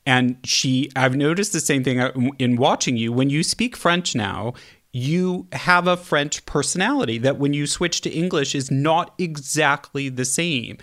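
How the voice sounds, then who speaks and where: A man's voice: a little high, unhurried, fairly bright tone. A man hosting an interview, chatty and chuckling, often restarting his sentences. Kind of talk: casual interview chat.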